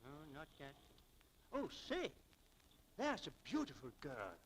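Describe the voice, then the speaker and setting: An actor playing a kindly, hesitant, stammering coworker and loyal friend in a 1940s radio drama, on a noisy old recording. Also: male voice, on the high side, wildly swooping pitch, takes it slowly, full character voice. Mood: hesitant, excited.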